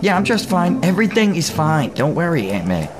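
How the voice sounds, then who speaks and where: A man's voice: fairly high pitch, big pitch swings, a little fast, loud music behind the voice. A man playing a shy, awkward and polite young man in an audio drama, with a hesitant, stammering delivery. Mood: apologetic.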